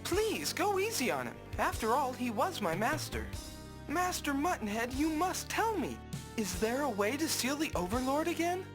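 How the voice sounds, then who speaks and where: High, earnest young game hero. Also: female voice, very animated, normal speed, over music. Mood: pleading, resolute. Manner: earnest, formal. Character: earnest, brave young apprentice hero, polite and resolute. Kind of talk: video game cutscene acting.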